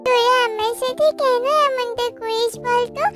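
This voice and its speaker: A woman's voice: extremely high pitch, level tone, measured pace. A woman voicing a frail little girl bird in a cartoon, whining and plaintive, repeating her words as she pleads. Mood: hurt, pleading. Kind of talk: children's cartoon voice acting. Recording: loud music behind the voice.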